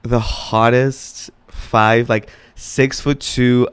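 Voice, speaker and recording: Man, young adult, raspy low voice, chatty storyteller, podcast co-host, dry studio sound. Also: level tone, no echo. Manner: chatty storyteller, drawn-out emphasis. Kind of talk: casual chat.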